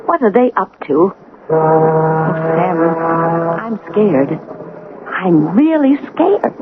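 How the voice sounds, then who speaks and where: A woman's voice: lowish voice, animated, very slow, loud music behind the voice. Frightened, fretful woman sleuth. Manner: fretful, urgent, confiding. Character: frightened amateur woman detective, earnest and breathlessly worried. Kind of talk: radio drama acting. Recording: noisy tinny old radio recording.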